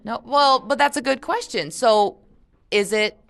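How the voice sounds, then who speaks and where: A woman reading aloud expressively as a teacher, pausing for casual discussion and guiding questions. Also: on the high side, creaky, fairly bright tone. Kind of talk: audiobook-style read-aloud with casual discussion.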